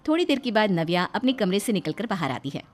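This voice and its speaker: A woman narrating an animated family moral story in a scripted storytelling style. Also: medium pitch, quick pace, faint music behind, natural voice.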